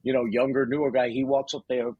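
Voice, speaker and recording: A male comedian co-hosting a podcast, with a husky, slightly raspy voice at middle pitch. The sound is dry and close, with no room around it. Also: little pitch movement, quick pace, dry recording, phone-quality sound. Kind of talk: casual podcast chat.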